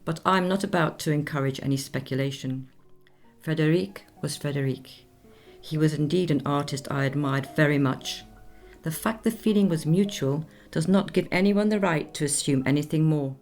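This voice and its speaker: An actress playing a dignified nineteenth-century Swedish opera singer in a radio play, speaking in a measured, formal, reflective way. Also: female voice, deep voice, a little mellow, faint music behind. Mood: proud, guarded.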